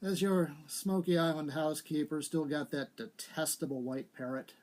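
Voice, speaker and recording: Man reading as a grumpy, finicky middle-aged gentleman. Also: a little high, fairly even intonation, normal speed, lightly acted. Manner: dry, grumbling.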